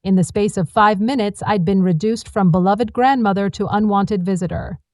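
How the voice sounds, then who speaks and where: A woman's voice: medium pitch, moderate pace, darkish tone, no echo, clean studio sound. A woman reading scripted, first-person dramatic storytelling narration.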